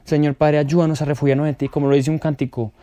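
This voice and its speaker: Young man, fervent prayer, pleading, short repeated phrases, slightly high voice, dry close sound. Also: little pitch movement, fast talker, warm tone, no room sound. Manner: repeats "papá" and "Señor Padre" after nearly every phrase; pleading, rising to impassioned calls. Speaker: prayer leader.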